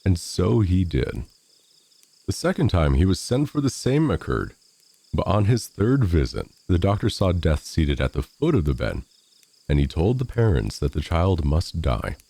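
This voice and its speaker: Male narrator for classic fairy tales, low and mellow, with very wide swings in pitch. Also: sing-song, unhurried, creaky. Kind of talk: scripted storybook narration.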